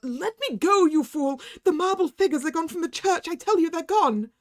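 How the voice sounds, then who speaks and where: A woman voicing a frightened young husband who shouts frantically. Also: extremely high pitch, animated, moderate pace, pitched above the performer's own voice. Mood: frantic.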